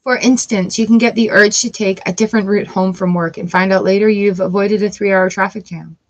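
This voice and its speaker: A woman's voice: mid-range voice, steady tone, brisk, poor audio. A woman doing a casual tarot reading, reading card texts aloud and breaking off into playful asides. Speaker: tarot reader.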